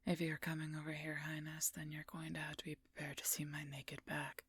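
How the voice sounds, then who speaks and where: Wounded werewolf knight, female, played by a woman: protective, weary, wry. Very breathy whisper, dry close sound, intimate roleplay audio. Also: low-pitched, monotone, brisk, fairly bright tone, dry recording, heavily acted. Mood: tired, guarded. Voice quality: breathy, husky, gravelly whisper.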